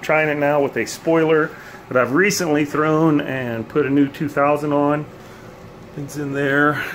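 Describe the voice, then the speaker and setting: A man's voice: fairly high pitch, a little hiss or hum, rough recording. Man filming a DIY demo in his kitchen. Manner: relaxed, thinking aloud with frequent ums and uhs. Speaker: bowling hobbyist vlogger. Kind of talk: casual DIY demonstration. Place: home kitchen, indoors. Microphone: phone held at arm's length.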